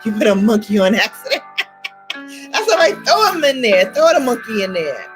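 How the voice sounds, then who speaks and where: A woman's voice: fairly high pitch, sing-song, normal speed. A woman from Brooklyn with a Brooklyn accent, hosting a casual livestream, chatting and laughing a lot with her viewers. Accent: Brooklyn New York. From Brooklyn, New York. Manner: chatty, laughs often, greets viewers by name. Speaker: livestream host. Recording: faint music behind.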